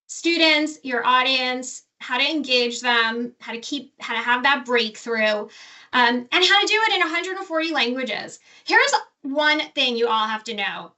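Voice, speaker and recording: A woman's voice: high-pitched, sharp tone. A woman professor giving a casual, enthusiastic presentation with slides, often restarting and correcting herself. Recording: distant from the mic.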